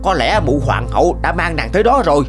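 An odd, loyal cartoon henchman, talks in a somewhat high male voice. He is good-natured, eager and exclamatory, with light music behind. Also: sing-song, quick pace. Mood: alarmed, eager. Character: odd loyal fairy-tale servants with strange powers, good-natured, eager. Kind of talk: animated fairy-tale dubbing.

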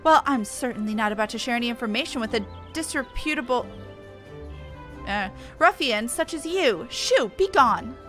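A woman's voice: on the high side, animated, moderate pace, fairly bright tone, faint music behind. A woman voicing a haughty, officious company clerk with a formal, scolding manner. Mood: indignant.

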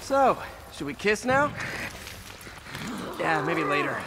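A man's voice: on the high side, big pitch swings, takes it slowly, background noise, exaggerated voice. A man voicing a young, cocky superhero in a video game, quipping sarcastically and playfully taunting villains. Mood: cocky banter.

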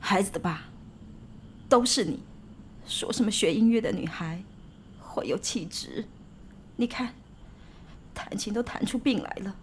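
A woman's voice: mid-range voice, measured pace, long pauses, slight background noise, lightly acted. A woman playing a worried widowed mother of a schoolgirl in a radio drama. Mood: anguished, complaining. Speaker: voice actress.

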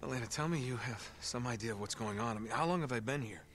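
A man's voice: medium pitch, fast, fairly bright tone, natural voice. An actor playing an easygoing male adventurer in a game, speaking in dry, offhand quips. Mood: wry.

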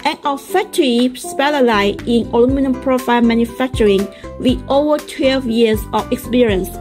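A middle-aged woman with a Chinese accent reading a scripted promotional narration in a halting way, as a factory representative. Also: a little high, over music. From China. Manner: halting reading, stumbling over and repeating phrases. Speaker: factory representative presenting products.

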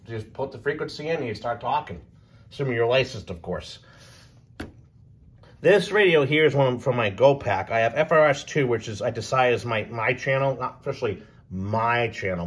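Male hobbyist presenter, mid-range voice, unscripted and conversational, stop-start delivery with trailing phrases. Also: medium pitch. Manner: halting, repeats himself, trails off. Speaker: presenter of a radio hobby video. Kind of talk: casual unscripted explainer.

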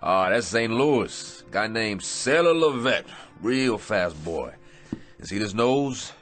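A man's voice: on the low side, animated, slow, a bit bright. A man playing a battered, weary aging boxer in a radio drama, plainspoken and wistful with an American accent. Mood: weary, reflective. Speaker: actor. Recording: radio drama with music underneath.

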